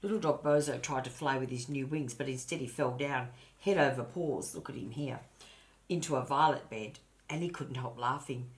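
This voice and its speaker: A woman's voice: rumbling low, moderate pace. An older woman narrating a children's storybook expressively, in a grandmotherly way and addressing her listeners. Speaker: storybook reader.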